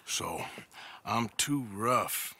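A man playing a gruff, bitter middle-aged Old West outlaw with a terse, sardonic American drawl in a video game. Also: fairly low pitch, expressive, extremely slow, pushed and bright, no room sound, exaggerated voice. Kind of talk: video game cutscene acting.

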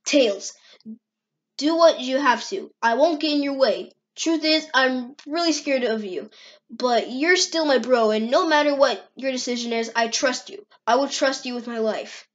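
A loyal young hedgehog hero played by a woman, high-pitched, casual and reassuring. It is a cartoon comic dub with dull, lo-fi sound. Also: unhurried. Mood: sincere.

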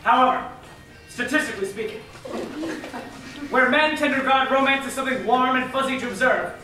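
A young woman on stage playing a brisk, authoritative scientist, presenting rules in a projected, comic lecture style. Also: high-pitched, pushed and bright, soft background music. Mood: brisk lecturing. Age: young adult. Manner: declarative, projected stage speech. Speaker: actor in a stage comedy. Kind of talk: theatrical comic lecture delivery. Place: large indoor theatre stage. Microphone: far camera. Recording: echoey theatre, distant mic, noisy.

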